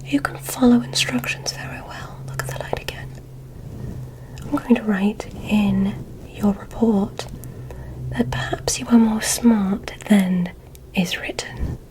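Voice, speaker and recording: Woman acting as female alien medical examiner, ASMR roleplay, breathy whisper, slow pace, curious, gently condescending, clinical. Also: medium pitch, lightly acted, rough recording. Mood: calm, clinical. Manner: soft, measured, instructing, clinical. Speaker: ASMR performer.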